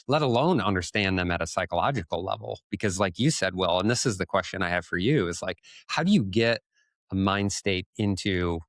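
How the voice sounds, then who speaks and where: A man's voice: sits low, animated, fairly quick, no room sound, clean studio sound. A man co-hosting a podcast, thinking aloud in long conversational sentences that lead into questions. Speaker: podcast co-host. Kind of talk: conversational commentary leading into questions.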